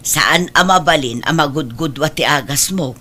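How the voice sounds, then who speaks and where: Babai a kas madanagan nga ikit, natadem timekna. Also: female voice, low-pitched, moderate pace, pushed and bright. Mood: concerned, chiding. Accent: Ilocano. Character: concerned, meddling middle-aged aunt and mother. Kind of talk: Ilocano radio-style audio drama.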